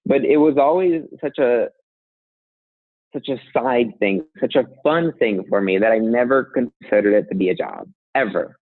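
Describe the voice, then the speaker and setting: A man in his thirties from Colombia, a guest talking casually about his work, relaxed and laughing lightly, often restarting phrases. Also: mid-range voice, normal speed, no room sound. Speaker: guest, software engineer. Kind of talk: casual conversational interview. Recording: tinny phone-like sound, dry.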